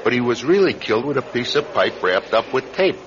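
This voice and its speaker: An actor playing a brusque, world-weary New York police sergeant in a radio drama, blunt and matter-of-fact. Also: male voice, medium pitch, big pitch swings, brisk, fairly bright tone. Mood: matter-of-fact, dismissive. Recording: dull radio recording with background hiss.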